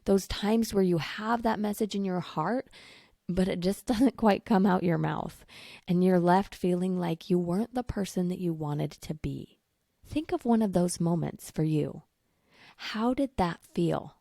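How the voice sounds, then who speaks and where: A woman's voice: fairly low pitch, a little slow, vocal fry, dry recording, studio quality. A woman hosting a faith-based coaching podcast, her voice still recovering from lost voice, speaking earnestly and encouragingly. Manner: earnest, warm encouragement with soft laughs. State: voice recovering from laryngitis. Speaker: podcast host, life coach.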